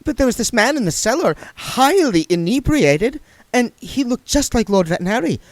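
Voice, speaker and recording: A man's voice: sits high, sing-song, measured pace, no echo, pitched above the performer's own voice. A man reading aloud and voicing a nervous young woman who rambles in a flustered way. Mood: nervous rambling.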